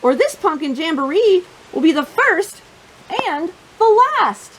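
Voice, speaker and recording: Female children's storytime host. High voice with big melodic swings, expressive delivery pitched at little kids. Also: a little slow, poor audio. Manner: expressive, simple phrasing addressed to young children. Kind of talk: children's storybook reading and craft chat.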